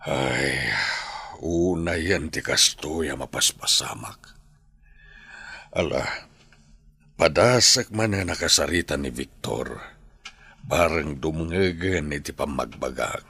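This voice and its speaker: Man playing a very deep, husky old patriarch. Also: takes it slowly, long pauses, bright, forceful tone, no room sound, full character voice. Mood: admonishing. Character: old grandfather, patient, admonishing family patriarch. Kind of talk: radio drama acting.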